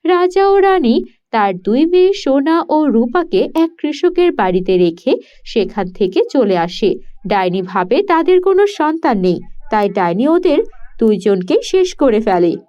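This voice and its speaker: A woman giving smooth, even, scripted narration of a storybook cartoon. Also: high-pitched, unhurried, dull audio.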